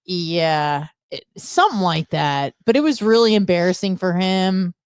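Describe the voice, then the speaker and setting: A woman with a slightly low voice chats like a radio co-host swapping gossip. Her storytelling is candid and animated, wandering into asides. The sound is close and dry. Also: a little slow, clear, resonant tone, dry recording. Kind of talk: casual gossipy chat.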